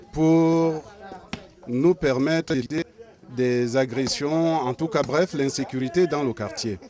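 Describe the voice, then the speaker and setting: A man with a Cameroonian French accent making a formal public appeal as a local resident, speaking slowly and deliberately. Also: on the high side, darkish tone. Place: outdoors in a neighborhood.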